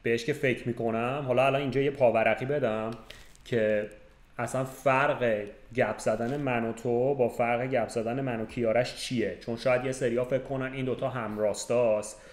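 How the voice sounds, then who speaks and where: A man hosts a podcast as a relaxed chat between friends. His voice sits at an average pitch, and the room around him rings with clear echo. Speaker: podcast host. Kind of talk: casual chat. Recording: echoey, roomy sound.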